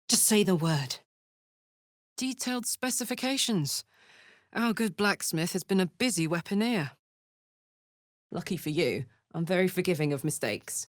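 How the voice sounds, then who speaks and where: A woman's voice: lowish voice, dry recording, studio quality. An actress playing a brash, big-hearted tiefling warrior woman in a video game, with a blunt, informal manner that turns from playful to tender. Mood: blunt, defiant. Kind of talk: video game character voice lines.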